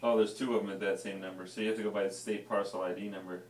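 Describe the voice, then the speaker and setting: A man's voice: fairly low pitch, flat delivery, very fast, far from the mic. A man talking as an investor in a casual business phone conversation. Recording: echoey, noisy room, away from mic.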